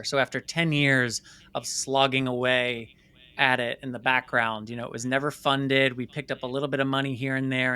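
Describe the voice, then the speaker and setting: A man speaking as the guest in a candid interview, telling stories in an animated way. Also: medium pitch, smooth. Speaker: guest, filmmaker and company CEO.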